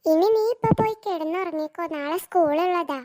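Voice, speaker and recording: Performer putting on a cartoon mother, very high pitch, haughty, jealous, competitive. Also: a little fast, a little mellow. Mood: matter-of-fact. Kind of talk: scripted cartoon dialogue.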